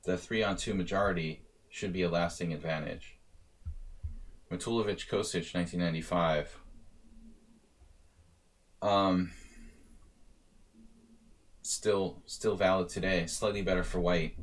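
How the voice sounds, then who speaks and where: A deep-voiced man coaching over a live chess stream. He thinks aloud in a loose, casual way, trailing off and restarting, then pushing his voice up when a point matters. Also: steady tone, measured pace, pauses often. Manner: thinking aloud, casual, with rising bursts of emphasis.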